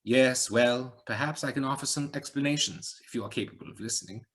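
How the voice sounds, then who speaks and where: A man's voice: medium pitch, a little fast, a bit bright, voice pushed up, natural voice. A man voicing a pale, arch, philosophical man with a slight Eastern European accent. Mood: musing. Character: pale, arch man with answers, older-sounding. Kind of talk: audiobook reading.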